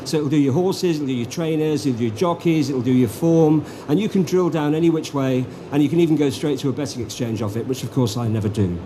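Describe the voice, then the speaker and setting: A man introducing himself on a conference panel, brisk and chatty with a few fillers. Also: a little high, mellow. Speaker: panelist, industry association chief executive. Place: conference hall panel stage. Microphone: panel microphone. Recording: noisy conference hall recording.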